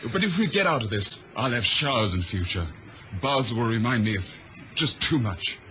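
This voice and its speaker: An actor playing a wry, anxious ship's radio operator in a radio drama, on a noisy vintage recording. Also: male voice, mid-range voice, lively intonation, normal speed, pushed and bright.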